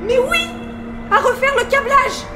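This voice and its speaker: Female, desperate accused crewmate in sci-fi cartoon dub; extremely high, shrill, pleading. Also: measured pace, over music. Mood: defensive. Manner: shrill, frantic protests. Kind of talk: dramatic French dub of animated short film.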